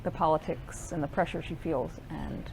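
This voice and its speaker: A woman's voice: low voice, moderate pace, creaky, background noise. An American woman, a stage actor, talking in a cast interview. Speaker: musical theatre actor.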